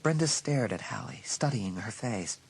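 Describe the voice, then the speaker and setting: A man's voice: on the low side, very animated, normal speed, creaky, slightly rough. A man giving a dramatic, scripted narration of an audiobook story.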